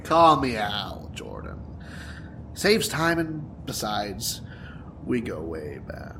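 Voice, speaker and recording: A man's voice: on the low side, big pitch swings, slow, a bit bright, full character voice. An actor playing a bitter, wounded young male supervillain in an audio drama, taunting and dramatic in manner. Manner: taunting, dramatic, profane, breaking into whispers and laughter. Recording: clear background noise and ambience.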